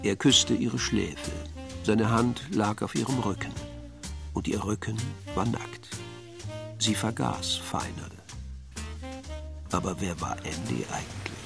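A wry male storyteller narrates a crime radio play. His low voice has a strong creak, and he stays dry and detached over clearly heard music. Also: expressive, takes it slowly, pauses often, exaggerated voice. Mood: dry, intimate. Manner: dry, sardonic.

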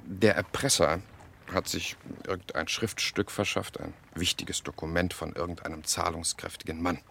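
An actor playing a cynical, worldly journalist and jovial schemer in a radio drama, sardonic and theatrical. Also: male voice, sits low, fairly even intonation, normal speed, gravelly, sharp tone, slight background noise, heavily acted. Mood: confiding, wry.

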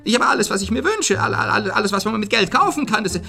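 An actor playing a wealthy, agitated man in a German radio crime drama, speaking vehemently and haltingly in a husky voice that can turn quavering. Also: high voice, expressive, pushed and bright, soft background music, full character voice. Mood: agitated, shrill. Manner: vehement, halting, repeating words for emphasis. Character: wealthy, agitated man haunted by a suicide dream.

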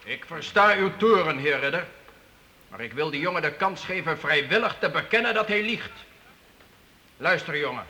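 An actor playing a stern but fair medieval count in a radio drama, commanding and formal. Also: male voice, on the high side, lively intonation, normal speed, sharp tone. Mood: measured, grave. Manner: commanding, lordly, formal archaic speech.

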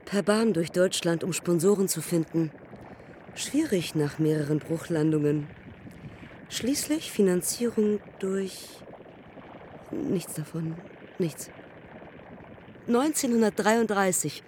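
An actress in a radio play playing a young pioneer woman aviator who recalls her crashes in terse, measured fragments. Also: lowish voice, a little mellow, slight background noise. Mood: bleak, weary. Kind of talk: literary radio drama acting.

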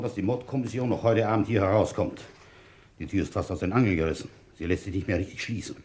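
A deep, husky police inspector. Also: male voice, a little mellow, exaggerated voice. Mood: firm, instructing. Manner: brooding in narration, firm and probing in questioning. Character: weary, methodical French village police inspector, a man. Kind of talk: German radio crime drama acting.

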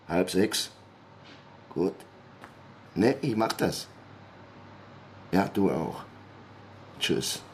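An actor playing an irritated, harried man on the phone in a radio crime drama, halting, repeating himself and muttering curses. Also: low voice, animated, slight background noise, heavily acted. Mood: exasperated, agitated.

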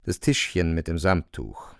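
A man's voice: low voice, animated, unhurried, gravelly, dry recording, studio quality. A man narrating a literary radio play with vivid, suspenseful storytelling.

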